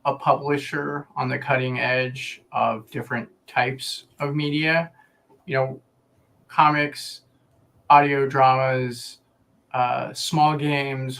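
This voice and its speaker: A man giving a casual explanatory pitch on a stream, measured, in short phrases with pauses. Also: fairly bright tone. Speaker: publishing platform representative.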